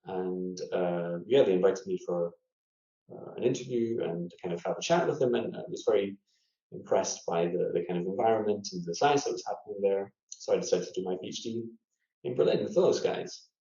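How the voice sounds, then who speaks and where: A man's voice: deep voice, fairly quick, vocal fry, mellow. A Scottish man, a scientist guest in a casual interview, telling his story thoughtfully with many fillers and restarts. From Scotland. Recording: echoey room, dull lo-fi audio.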